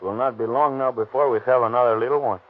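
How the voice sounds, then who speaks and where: A man's voice: medium pitch, fairly even intonation, fast, narrow, tinny audio, close to an everyday voice. An actor playing an affable Mexican policeman and family man, in accented broken English, in 1930s radio drama style. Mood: jovial. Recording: distant, scratchy 1930s radio recording.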